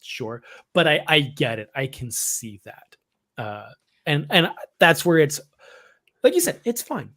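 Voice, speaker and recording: Higher-voiced man in casual explanatory chat. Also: sing-song, a little slow, a bit bright. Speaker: podcast co-host. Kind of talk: casual fan discussion of Star Wars.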